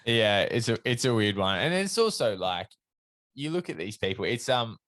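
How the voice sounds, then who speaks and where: Low-voiced Australian man hosting a podcast. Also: big pitch swings, normal speed, fairly bright tone, no room sound, clean studio sound. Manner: thoughtful, with many ums and uhs. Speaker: podcast host. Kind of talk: casual podcast chat.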